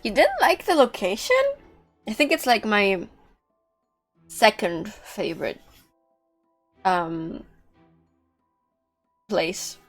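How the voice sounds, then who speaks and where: Slow-paced, casual woman streamer. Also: medium pitch, expressive, a bit bright, faint music behind. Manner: informal, rambling. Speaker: game streamer. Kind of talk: casual livestream commentary. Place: livestream with game music behind.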